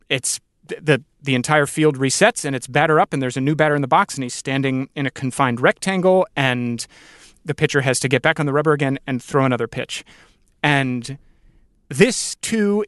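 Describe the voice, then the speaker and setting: A man's voice: fairly high pitch, no room sound, clean studio sound. A man hosting a podcast interview, musing earnestly and reflectively in a conversational way.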